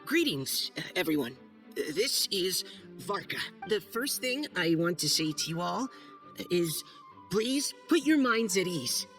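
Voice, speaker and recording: A man's voice: high voice, big pitch swings, a little slow, a bit bright, full character voice. A man voicing a timid, earnest young knight in a video game, formal and hesitant as he reads aloud. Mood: earnest, careful. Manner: hesitant, nervous, stammering, formal. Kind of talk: video game acting, reading a letter aloud. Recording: faint music behind.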